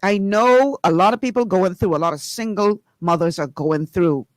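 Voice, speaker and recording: A radio show host with a Bahamian accent, chatting casually and excitedly before turning to earnest, preacher-like encouragement. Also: normal speed, no echo. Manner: animated, laughing, swings into high excited tones.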